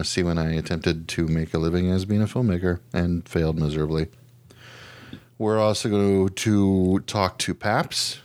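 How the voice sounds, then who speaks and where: Deep-voiced man chatting casually on a podcast. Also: a little mellow, dry recording. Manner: informal, mildly profane, self-deprecating. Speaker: podcast host.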